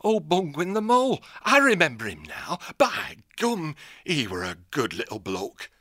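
An actor playing an excitable, forgetful male owl with a Northern English dialect and old-fashioned folksy speech in an audiobook drama. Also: a little high, measured pace, no echo, clean studio sound, full character voice. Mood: amazed.

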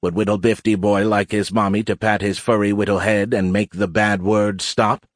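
A hulking alien warrior sergeant played by a male narrator speaks in a lowish register. He is a seasoned storybook fighter, sneering and fearless, taunting with calm control. The recording is dry and close. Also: moderate pace, no echo, close to an everyday voice. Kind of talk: audiobook character acting.

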